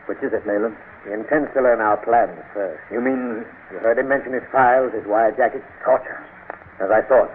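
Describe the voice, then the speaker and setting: A man's voice: mid-range voice, moderate pace, natural voice. Guy acting brave british adventurer type, urgent clipped old radio serial talk, tinny n a bit hissy. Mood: tense, urgent. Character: stalwart, earnest British gentleman adventurer, defiant under threat.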